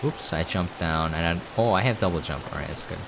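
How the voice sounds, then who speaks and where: A man's voice: deep voice, a little fast, vocal fry, noisy background. A man giving casual gameplay commentary as a streamer, rambling and thinking aloud as he plays. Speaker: game streamer. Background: game music.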